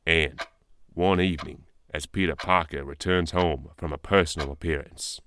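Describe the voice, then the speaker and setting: A man's voice: a bass voice, steady tone, moderate pace, a bit bright, slight background noise. A man playing a solemn comic-book storyteller in scripted dramatic narration.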